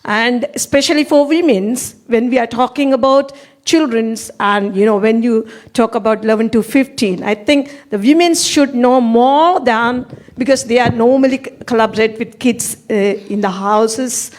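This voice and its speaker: A woman's voice: a little high, moderate pace, darkish tone. A woman from Sri Lanka, a Tamil speaker, earnestly giving an audience comment at a panel Q&A and searching for words. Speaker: audience member.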